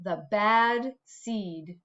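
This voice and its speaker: A woman's voice: medium pitch, drawn-out words, fairly bright tone, muffled recording. A woman, a school principal, speaking simply to young children as she presents a picture-book read-aloud. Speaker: school principal reading to pupils.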